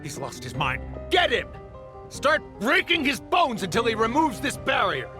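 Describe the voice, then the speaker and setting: A man's voice: mid-range voice, sing-song, unhurried, over music. A man voicing a smug, mercenary crime boss in a video game, with a glib, taunting manner. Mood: alarmed.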